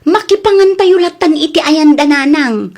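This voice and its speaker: An actress with an Ilocano Filipino accent playing a carefree, freeloading married daughter in a comedy radio drama. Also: female voice, sits high, moderate pace, a little mellow. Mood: breezy, defensive.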